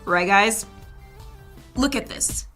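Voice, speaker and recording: A woman's voice: medium pitch, takes it slowly, a bit bright, soft background music. A woman hosting an online video show, presenting scripted commentary with wry, playful asides. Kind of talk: scripted, jokey presenting about animation videos.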